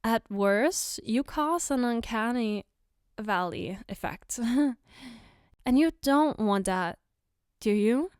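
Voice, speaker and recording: A woman playing an affectionate, gently teasing girlfriend in a soft-spoken ASMR roleplay, tender and reassuring. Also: on the high side, animated, clear, resonant tone, no room sound, studio quality. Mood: playfully reassuring. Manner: tender, reassuring, playfully coaxing, unhurried. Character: affectionate, gently teasing girlfriend doing her partner's makeup.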